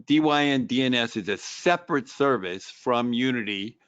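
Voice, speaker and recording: Smooth-voiced male host on a dry call. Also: mid-range voice, animated, a bit bright, no echo. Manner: questioning, halting restarts, summarizing. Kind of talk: interviewing a guest on a video call. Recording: dry, dull lo-fi video call audio.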